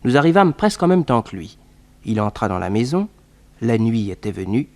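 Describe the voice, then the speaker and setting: An actor playing a detective's associate who narrates a case in a measured, literary way, in a 1960s French radio serial. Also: male voice, medium pitch, big pitch swings, a little mellow.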